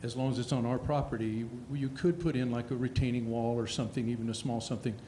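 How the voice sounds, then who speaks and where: A man's voice: mid-range voice, fairly even intonation, fast, mellow, echoey room, slight background noise. A man speaking hesitantly and rambling as a resident at a public meeting.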